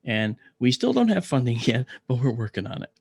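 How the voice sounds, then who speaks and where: A middle-aged man with a deep, gravelly voice from a paralyzed vocal cord, telling a story in an interview, clearing his throat often and using many fillers. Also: very animated, brisk, no echo. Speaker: interview guest, astronomer.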